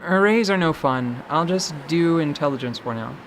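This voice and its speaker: A woman's voice: sits low, expressive, moderate pace, a little hiss or hum. A woman chatting casually as a tabletop game player, in a conversational, bantering style.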